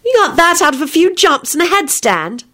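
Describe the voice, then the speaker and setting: A woman plays an anxious storybook girl who is stubborn and insistent. Her pitch sits high and bounces around with worry. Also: big pitch swings, sharp tone, heavily acted. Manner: hurried, worried, insistent. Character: anxious, stubborn girl who dithers yet acts defiant. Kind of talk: dramatized storybook reading.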